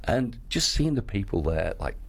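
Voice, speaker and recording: A man who works as a town crier, chatting in an interview, talkative and quick to laugh. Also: fairly low pitch, sing-song, creaky, slightly rough. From Australia. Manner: chatty, laughs easily, acts out quoted voices. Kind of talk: casual interview chat.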